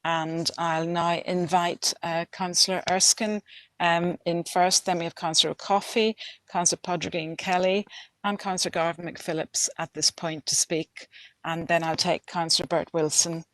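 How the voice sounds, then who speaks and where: A woman chairing a council meeting, brisk and procedural as she calls on speakers. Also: lowish voice, little pitch movement, fairly bright tone, no echo.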